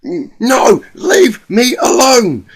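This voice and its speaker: A man acts out a distraught young pegasus mare from a fan-fiction story. Her voice is pitched high and strained with panic. She stammers breathlessly and repeats herself, pleading to be left alone. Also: wildly swooping pitch, very slow, husky, full character voice. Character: young female pegasus pony, terrified, distraught, pushing help away.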